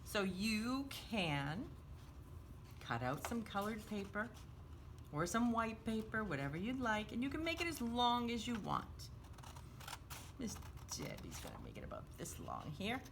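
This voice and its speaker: A woman's voice: medium pitch, wildly swooping pitch, a little slow, gravelly, background noise. A woman hosting a craft storytime for young children, giving simple, encouraging step-by-step instructions and often referring to herself in the third person.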